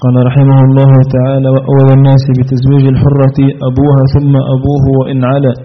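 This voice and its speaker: Male student reader, very flat intonation, brisk pace, formal recitation, muffled lo-fi sound. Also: mid-range voice. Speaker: student reading the text aloud in class. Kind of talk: reading a classical legal text aloud. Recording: dull lo-fi classroom recording.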